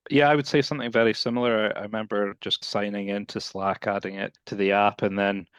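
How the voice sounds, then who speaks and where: Scottish man in his thirties, guest on a casual call, low-pitched, relaxed and easygoing. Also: quick pace, clean tone, no room sound. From Scotland. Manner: relaxed and conversational, with "kind of" fillers. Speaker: guest, support professional. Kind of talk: casual video call interview.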